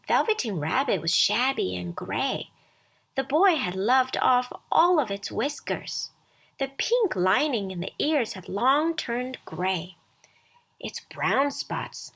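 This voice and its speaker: A woman reading a scripted children's bedtime story aloud as storyteller, expressive and deliberate. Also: a little high, vocal fry, husky.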